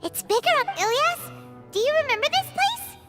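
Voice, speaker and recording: Tiny excitable game sprite: childlike squeak, sky-high pitch, curious, music clearly underneath. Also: female voice, fairly quick. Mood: curious, puzzled. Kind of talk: video game voice acting. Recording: music behind the voice.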